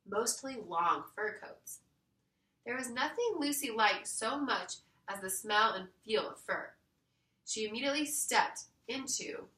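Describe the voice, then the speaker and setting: A woman reading a children's story aloud in an expressive storytelling style. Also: medium pitch. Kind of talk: expressive scripted storybook narration. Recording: roomy echo, distant mic.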